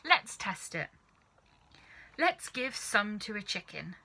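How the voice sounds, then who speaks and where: A woman voicing an excitable, impatient, bossy father in a children's story, with urgent exclamations. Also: mid-range voice, slow, gravelly, exaggerated voice. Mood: excited.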